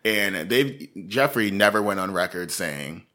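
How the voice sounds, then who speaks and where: A man's voice: sits low, animated, a little slow, pushed and bright. A man co-hosting a casual true-crime podcast, talking in a chatty, rambling way with plenty of fillers. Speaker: podcast co-host.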